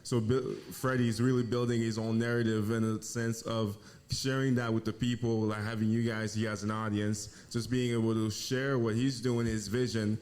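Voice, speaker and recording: A man from Haiti, a financial planner, talking in an earnest, measured way as a guest. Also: fairly low pitch, little pitch movement, a little mellow. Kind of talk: casual interview.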